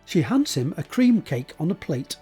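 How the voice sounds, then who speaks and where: A male narrator with a fairly high but mellow, soft-edged voice reads scripted scene directions for an audio drama. His delivery is measured and descriptive, over music. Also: very animated, slightly rough.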